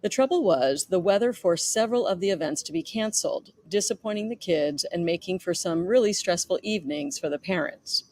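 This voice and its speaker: A woman's voice: fairly low pitch, animated, a little fast, hardly any pauses, darkish tone. Middle-aged woman author reading aloud. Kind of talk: reading own memoir aloud.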